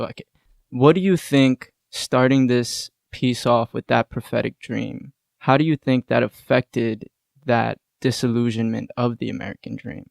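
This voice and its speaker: A man's voice: medium pitch, gravelly, no echo, studio quality. A man interviewing on a podcast in a casual, thoughtful way, tentative and often repeating words.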